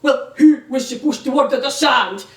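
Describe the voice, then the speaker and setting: A woman's voice: high voice, normal speed. A woman on stage playing a brash, impatient, foul-mouthed male film stage manager who barks exasperated orders. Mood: exasperated, bossy. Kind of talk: theatrical stage acting. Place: theatre stage. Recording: echoey theatre stage from far off.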